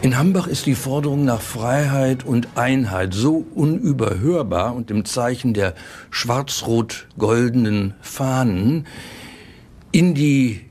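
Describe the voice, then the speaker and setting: A man with a husky voice explaining history as an expert interviewed for a documentary. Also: mid-range voice, slow, a little mellow, soft background music. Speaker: expert commentator.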